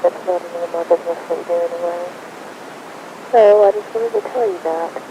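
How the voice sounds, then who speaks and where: A woman's voice: fairly even intonation, a little fast. Female voice, casual personal phone message, hissy old answering machine recording. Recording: noisy old answering machine tape, muffled hiss. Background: tape hiss and rumble.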